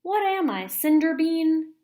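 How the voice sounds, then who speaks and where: A woman's voice: very high voice, big pitch swings, takes it slowly, dark tone, voice pushed up, exaggerated voice. A woman voicing a cheeky, impulsive young girl who protests and pleads, for a children's storybook. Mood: indignant protest. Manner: exclamatory, protesting. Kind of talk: children's storybook read-aloud character voice.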